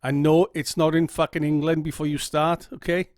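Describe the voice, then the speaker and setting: A man's voice: on the high side, level tone, normal speed, a little mellow, no room sound. Earnest Welsh man, dry close sound. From Wales. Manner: earnest, candid, repeats himself, checks in with "okay?". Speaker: vlogger. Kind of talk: personal story told to camera. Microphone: camera mic.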